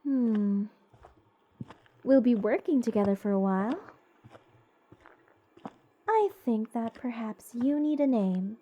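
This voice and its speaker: A woman's voice: on the high side, animated, muffled recording. A woman playing a gushing, eccentric mage besotted with cursed creatures, in a rambling, whimsical fantasy ASMR roleplay addressed to the listener. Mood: dreamy, fond. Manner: slow, rambling, doting, theatrically whimsical. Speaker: voice actor.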